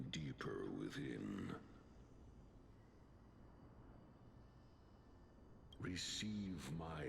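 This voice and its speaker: An actor voicing an ancient ancestor spirit, a solemn old judge in a video game, speaking gravely and ponderously. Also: male voice, fairly bright tone, a little hiss or hum, full character voice. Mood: solemn, blessing. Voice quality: very deep, gravelly. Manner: grave, ponderous, archaic formal speech.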